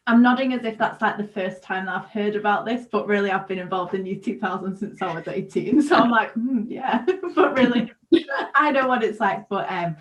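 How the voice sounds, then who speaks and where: A woman's voice: mid-range voice, normal speed. A woman co-hosting a casual online faith chat, talking conversationally with plenty of "like" and "you know". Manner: warm conversational with fillers. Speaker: co-host.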